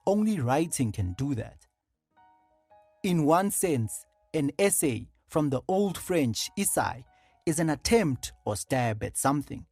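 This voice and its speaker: A narrator reading an audiobook in a measured, deliberate and formal way. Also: mid-range voice, sing-song, a little mellow, husky, dry recording, soft background music.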